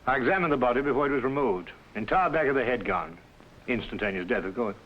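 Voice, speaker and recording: A man playing a genial, sympathetic gentleman doctor and detective's companion in an old-time drama, sociable and brisk. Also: medium pitch, animated, slight background noise, close to an everyday voice. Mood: sober, sympathetic. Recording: muffled old radio recording, faint music.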